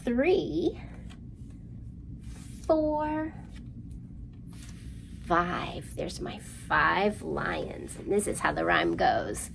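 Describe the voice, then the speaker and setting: A woman presenting a children's storytime, speaking in an animated, sing-song way and prompting young listeners through rhymes and counting. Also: fairly low pitch, very slow, creaky, pauses often, slight background noise.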